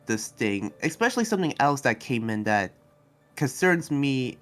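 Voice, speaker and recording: An earnest, protective demon fighter acted by a man talks in a hesitant, rambling way. It is improvised anime game roleplay at an ordinary male pitch, with faint music behind. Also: very animated, normal speed, a bit bright. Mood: troubled, earnest. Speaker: roleplay series player.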